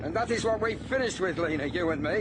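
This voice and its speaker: An actor playing a defiant, confident criminal mastermind on the run in a radio crime drama, speaking in a clipped way. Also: male voice, high-pitched, lively intonation, fast. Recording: loud engine and helicopter noise.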